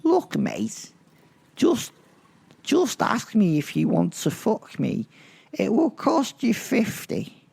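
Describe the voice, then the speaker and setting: Man putting on a shrill, coarse streetwalker. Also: huge pitch swings, slow, gravelly, darkish tone, husky, full character voice. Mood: scornful. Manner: coarse slangy begging and haggling, blunt. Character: worn-out streetwalking mother in her twenties, coarse, insistent.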